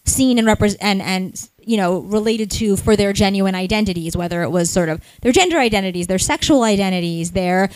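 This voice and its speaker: A woman's voice: mid-range voice, a little fast, hardly any pauses, no room sound, poor audio. A woman co-hosting a podcast, earnestly recapping a book with reflective, hedging phrases. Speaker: podcast co-host. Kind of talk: live podcast book discussion.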